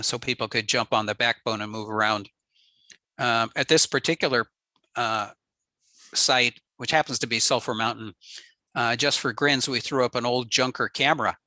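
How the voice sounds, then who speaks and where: A man giving a relaxed technical slide talk on a radio network, explaining with frequent ums and uhs. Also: medium pitch, dry recording. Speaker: ham radio presenter.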